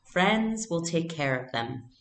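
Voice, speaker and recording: A woman reading a storybook aloud as a gentle, reassuring mother speaking to her child. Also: low-pitched, animated, slow pace, roomy sound, full character voice.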